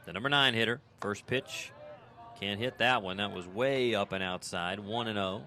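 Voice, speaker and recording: Bright-voiced American man calling baseball. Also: moderate pace. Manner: clipped descriptive phrases, conversational between pitches. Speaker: radio play-by-play baseball announcer. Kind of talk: live sports commentary.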